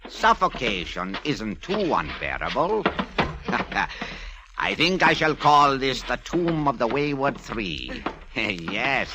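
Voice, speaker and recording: A man's voice: mid-range voice, very animated, slow, noisy background. An actor playing a grandiose, smug madman cult leader with pompous, formal menace in 1940s radio drama style. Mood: commanding, mad. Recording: vintage radio drama recording.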